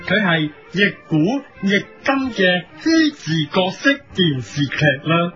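A man's voice: high-pitched, big pitch swings, slow pace, fairly bright tone. A man with a Hong Kong Cantonese accent hosts a parody editorial, delivering satirical scripted commentary in a mock news style. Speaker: host of a parody editorial programme. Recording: lo-fi radio recording with music behind. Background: music.

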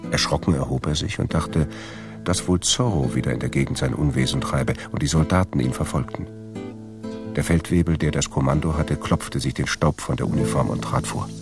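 An actor as the narrator of a radio adventure play, reading a scripted tale in a gravelly voice. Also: male voice, a bass voice, brisk. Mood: measured storytelling. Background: plucked guitar music.

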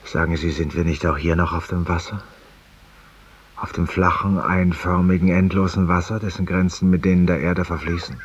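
A man's voice: deep voice, level tone, moderate pace, breathy edge. An actor playing a world-weary, eloquent, guilt-ridden man who confesses intimately to an unseen companion in a 1950s radio drama monologue. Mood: uneasy, musing. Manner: intimate, rhetorical, addressing an unseen companion, sometimes dropping to a whisper. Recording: muffled, distant 1950s radio recording.

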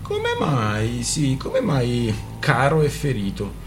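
A man's voice: medium pitch, wildly swooping pitch, slow pace, music in the background. A man reading an audiobook and voicing a weary man who speaks tersely, at times pleading. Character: weary man, gruff but affectionate.

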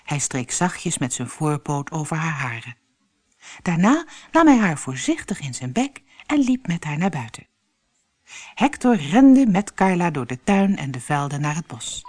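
A woman reading a children's fairy tale as scripted narration, with expressive, clearly phrased storytelling. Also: deep voice, unhurried, faint music behind.

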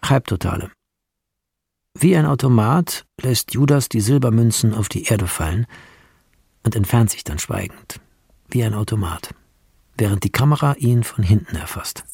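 A man narrating a screenplay in a radio drama, in measured, terse phrases. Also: lowish voice, lively intonation, creaky, a little mellow, breathy edge, no room sound, studio quality.

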